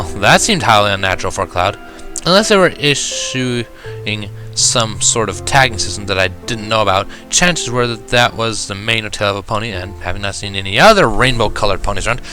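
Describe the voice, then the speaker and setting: A man narrating a first-person story in an expressive, reflective and dramatic way. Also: fairly low pitch. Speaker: reader of fan fiction. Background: background music.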